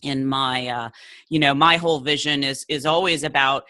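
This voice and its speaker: Upbeat female podcast host, bright forceful tone, laughs often, eager chatty delivery. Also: very deep voice, steady tone, normal speed, no echo. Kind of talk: upbeat interview hosting.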